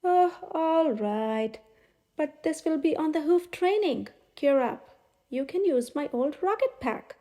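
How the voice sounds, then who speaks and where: A woman voicing a gruff, commanding old male pig colonel in a children's storybook, grumbling out orders. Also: high-pitched, expressive, slow, dark tone. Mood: stern, relenting. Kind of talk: children's storybook read-aloud.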